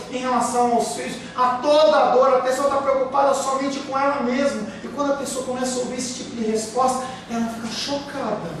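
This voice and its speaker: Brief: pastor, male, high voice, emphatic sermon delivery, distant mic. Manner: emphatic, rhetorical questions, acts out quoted excuses. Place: church hall. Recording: very echoey room, speaker far from mic, faint hiss.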